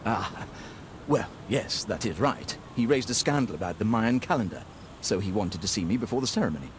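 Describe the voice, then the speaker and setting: Guy acting stuffy formal club man for a game, defensive, lowish pitch, bit of noise. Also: expressive, a little fast, lightly acted. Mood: evasive. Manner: formal, indignant. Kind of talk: video game cutscene acting.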